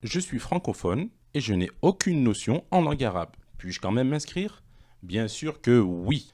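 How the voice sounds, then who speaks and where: A man presenting an online language course, reading questions and answers in a measured, explanatory way. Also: mid-range voice, big pitch swings, a little mellow.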